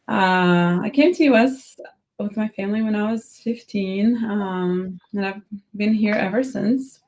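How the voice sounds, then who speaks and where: A woman's voice: mid-range voice, a little slow, darkish tone. A woman from Moldova, native in Romanian, speaking English with a Moldovan accent as a therapist guest, thoughtful and full of fillers. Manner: thoughtful, many fillers like "like" and "you know". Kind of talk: casual panel talk.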